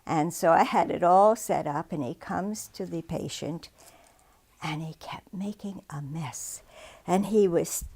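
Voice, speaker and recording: An older woman from Brooklyn, a guest telling stories in an interview. She tells her stories with lively anecdotal energy. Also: lowish voice, a little slow, breathy edge. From Brooklyn, New York. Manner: lively anecdotal storyteller, laughing often. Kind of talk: casual storytelling.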